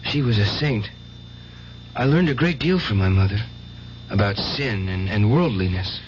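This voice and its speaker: A man's voice: lowish voice, lively intonation, normal speed, natural voice. An actor playing a meek but self-righteous young man, earnest and hesitant, in radio drama style. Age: young adult. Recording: muffled radio drama recording, faint hiss.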